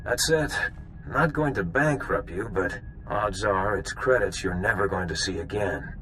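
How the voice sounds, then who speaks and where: A man's voice: sits low, measured pace, pushed and bright, slight background noise, rough recording. An actor playing a seasoned bounty hunter in a video game, wry and knowing as he lays out old history. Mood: explaining, cautionary.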